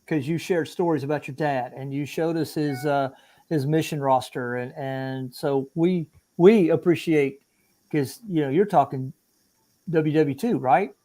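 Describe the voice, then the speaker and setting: Higher-voiced older jokey male co-host. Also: measured pace, mellow. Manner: jokey, rambling, self-deprecating. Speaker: podcast co-host, military veteran.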